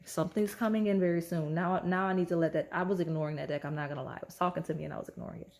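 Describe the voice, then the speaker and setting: A woman talks through a pick-a-card tarot reading in a loose, chatty way and keeps checking in with "okay?" Her voice sits a little low. It is hoarse and has a slight rasp, as if she is losing it. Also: fairly even intonation, very fast, a little mellow. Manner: chatty, repeats "okay?" often. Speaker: tarot reader.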